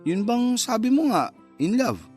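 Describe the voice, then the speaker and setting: A man's voice: extremely high pitch, sing-song, unhurried, a little mellow, soft background music, exaggerated voice. An actor playing a hesitant but candid young man in a radio drama, casual and mildly defensive as he talks. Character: hesitant, candid younger man who courts older women. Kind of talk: conversational radio drama acting.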